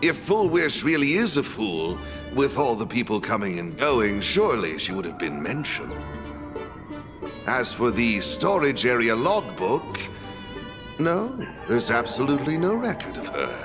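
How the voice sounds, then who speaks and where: A suave male broker from a video game, dryly wary and polished. His voice sits at a middle pitch but moves with lively inflection. Game music runs underneath. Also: measured pace. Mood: musing.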